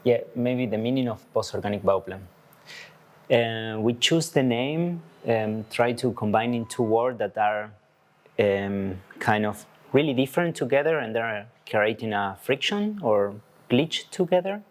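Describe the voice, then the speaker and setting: A man from Argentina, an artist with a biology background, explaining concepts in a talk, halting and searching for words. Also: mid-range voice, animated. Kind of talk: presenting a talk to an audience.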